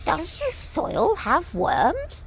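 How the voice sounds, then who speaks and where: A woman plays a helpful little red hen from a children's storybook. The voice is raised high and unhurried, with an earnest, careful way of questioning. Nature ambience sits behind it. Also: huge pitch swings, vocal fry, slight background noise, exaggerated voice. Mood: earnest, curious.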